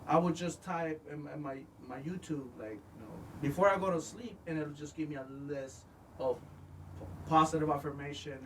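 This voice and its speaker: Animated Mexican-accented man, off-mic. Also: a little high, normal speed, distant mic, slight background noise, low-quality recording. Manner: animated, stammering restarts. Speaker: podcast co-host. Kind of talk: casual chat.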